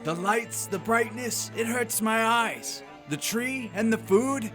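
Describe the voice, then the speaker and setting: An actor playing a grumpy, frightened old miser in a radio play, curt and querulous. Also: male voice, very high-pitched, takes it slowly, fairly bright tone, soft background music, full character voice. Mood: alarmed.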